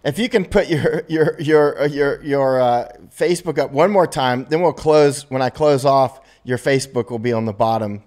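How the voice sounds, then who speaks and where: A man's voice: medium pitch, moderate pace, few pauses, a little mellow. An American man hosting a casual remote interview, loose and chatty, laughing easily. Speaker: host.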